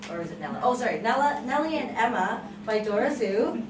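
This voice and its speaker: A woman's voice: fairly high pitch, expressive, a little fast. A woman hosting a table read, announcing casually and off the cuff. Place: room with a fan running. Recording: distant echoey room, fan noise.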